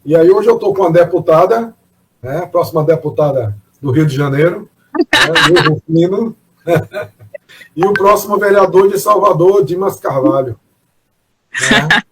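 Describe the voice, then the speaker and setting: A man's voice: high-pitched, a little slow, pauses often, background noise. A man hosting a talk show, chatty and often ending his phrases with tag questions.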